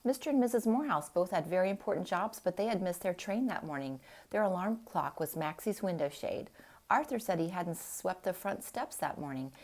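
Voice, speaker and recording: A woman reading a storybook aloud in an expressive style, with deliberate, dramatic phrasing. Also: fairly low pitch. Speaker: storybook reader.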